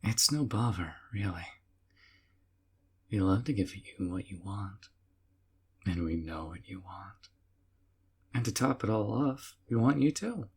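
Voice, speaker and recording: A man playing a doting, obsessive young man who keeps the listener, speaking in a calm, hushed, sweetly possessive way in an audio roleplay. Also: on the low side, measured pace, pauses often, slightly rough, heavily acted.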